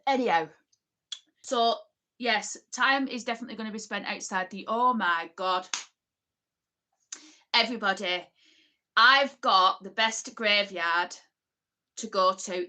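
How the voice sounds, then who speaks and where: A woman's voice: medium pitch, sharp tone. Northern English woman with an Oldham accent, host of a casual live chat, rambling and full of asides. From England. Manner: chatty, rambling, many ums and asides. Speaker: livestream host. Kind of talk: casual livestream chat reading comments.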